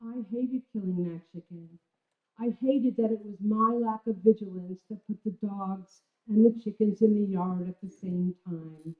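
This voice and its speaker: A woman gives an expressive, deliberately paced literary reading of a memoir at a live stage event. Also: mid-range voice. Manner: expressive storytelling with deliberate pauses and emphasis. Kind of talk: dramatic literary reading before a live audience. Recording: roomy, thin phone-like sound of live stage reading.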